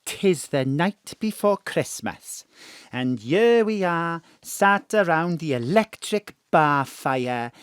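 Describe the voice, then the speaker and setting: Theatrical old Welsh mam, swooping. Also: male voice, on the high side, lively intonation, slow, smooth. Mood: nostalgic. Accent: South Wales. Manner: theatrical, exclamatory, wheedling. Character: elderly Welsh mam, theatrical, cheeky and easily affronted. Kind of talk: comic audio drama acting.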